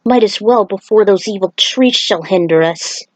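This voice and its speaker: A woman's voice: mid-range voice, moderate pace, no room sound, lightly acted. A woman voicing a weary, determined male warrior monk in a story, speaking in a practical, terse way.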